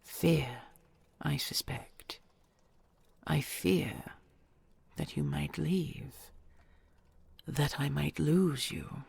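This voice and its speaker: A woman playing an ancient dragon queen, a tender and possessive lover, in an intimate romantic audio roleplay, whispery and hushed with formal archaic phrasing. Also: sits low, extremely slow, pauses often, no echo, heavily acted. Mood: anxious, longing. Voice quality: breathy, whispery.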